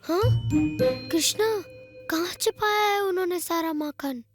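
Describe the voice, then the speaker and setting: A woman's voice: extremely high pitch, a little slow. A woman voicing a greedy, hesitant little village child, the whining sidekick in a Hindi children's cartoon. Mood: worried. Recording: background children's music and jingles.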